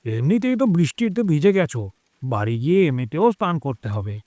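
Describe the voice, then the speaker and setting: Audio drama role: chatty older gentleman played by a man, slightly high, lively voice, concerned and familiar. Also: normal speed, dry recording, studio quality. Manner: expressive, exclaiming, familiar.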